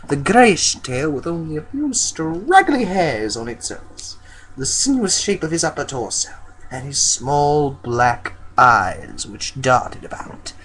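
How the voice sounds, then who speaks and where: A man's voice: fairly high pitch, very animated, slow pace, a bit bright, rough recording. A man doing an amateur dramatic story reading in a goofy, theatrical manner. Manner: goofy, theatrical, breaks off into joking asides. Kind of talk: dramatic fan fiction reading.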